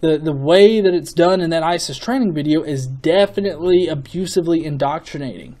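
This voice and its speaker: A man giving off-the-cuff opinion commentary as a video host, in a casual, sweary manner with halting, repeated words. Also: fairly high pitch, dark tone. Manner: casual, profanity-laden.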